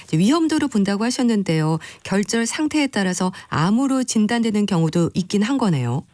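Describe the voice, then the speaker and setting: A radio interviewer asks short, polite questions on a health program. The pitch moves a lot. The sound is dry and close, with no room in it. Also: big pitch swings, brisk, a little mellow, no room sound.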